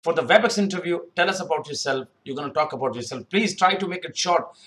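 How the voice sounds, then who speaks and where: A man's voice: a little high, fast talker, fairly bright tone. A young man giving unscripted interview advice over a screen recording, rambling and repeating himself with many fillers, recorded on a webcam in a small room. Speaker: youtube career advice host. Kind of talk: unscripted screen-share tutorial. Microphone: webcam in corner of screen recording.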